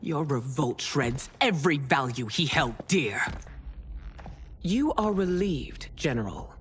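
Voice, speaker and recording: An actress playing a proud, imperious and indignant mature empress in a dramatic video game cutscene. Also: female voice, sits low, animated, slow, a little hiss or hum. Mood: cold, wounded. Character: proud, betrayed warrior empress, mature and commanding.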